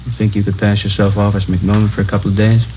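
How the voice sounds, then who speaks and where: A man's voice: on the low side, flat delivery, fast talker, dark tone, lightly acted. A man playing a decisive American police captain in a 1950s radio drama, matter-of-fact and authoritative. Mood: decisive, businesslike. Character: brisk, decisive male police captain in charge. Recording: muffled old radio recording, faint noise.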